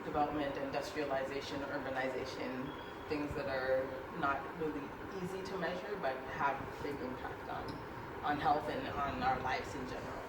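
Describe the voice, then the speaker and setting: A woman panelist introducing her work, relaxed and full of fillers. Her voice comes from a distance in an echoey room, with loud noise around her. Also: very deep voice, moderate pace. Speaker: panel speaker, statistician. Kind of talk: self-introduction at an event.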